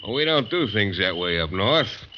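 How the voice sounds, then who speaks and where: Gruff commanding sergeant, taunting, animated, radio play, dull noisy vintage sound. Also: male voice, lowish voice, normal speed. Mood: gloating, stern. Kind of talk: old-time radio drama acting.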